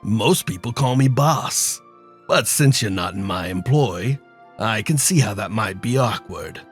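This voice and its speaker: An actor playing a wry, guarded crime boss in a detective radio drama. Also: male voice, fairly low pitch, lively intonation, unhurried, soft background music. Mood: coolly commanding.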